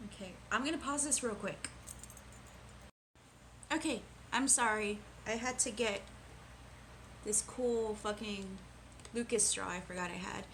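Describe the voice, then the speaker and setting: A woman's voice: mid-range voice, a little slow, long pauses, fairly bright tone, slight background noise, rough recording. A woman co-hosting a casual movie review podcast, chatting in a hesitant way with frequent restarts. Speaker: podcast co-host.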